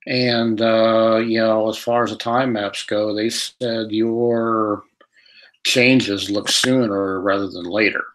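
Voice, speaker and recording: A man's voice: fairly low pitch, steady tone, slow, fairly bright tone, rough recording. A man co-hosting a psychic call-in show, reading out listeners' questions with many hesitant fillers. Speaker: radio show co-host relaying caller questions.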